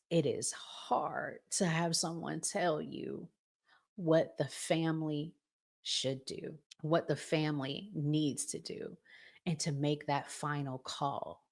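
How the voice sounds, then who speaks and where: Low-voiced, unhurried middle-aged woman. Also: a little mellow. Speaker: podcast host giving marriage advice. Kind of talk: informal advice talk addressed to women.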